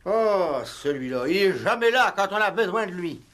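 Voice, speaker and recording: A self-important village gendarme in a vintage radio comedy-mystery. His high voice leaps up and down as he stammers, fusses and fawns, eager and easily flustered. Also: normal speed. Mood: impatient. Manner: obsequious, pompous, stammering, excitable. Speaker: radio actor. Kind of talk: 1960s French radio play acting. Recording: vintage 1960s radio recording.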